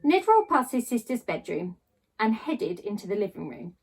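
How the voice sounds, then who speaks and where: A woman narrates a children's storybook with plenty of expression. Her voice sits at a medium pitch, and she performs the text theatrically, pausing for drama and drawing out important words. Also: sing-song, slightly rough, poor audio. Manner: theatrical, with dramatic pauses and drawn-out emphasis. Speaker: storyteller reading a children's book aloud.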